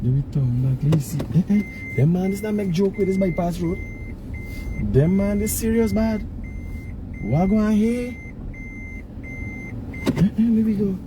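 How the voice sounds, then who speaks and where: A man's voice: sits high, measured pace, pauses often. A man from Guyana with a Guyanese accent, giving casual, opinionated live commentary in colloquial speech and repeating phrases for emphasis. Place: in a moving car on the road. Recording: noisy in-car recording with road sounds.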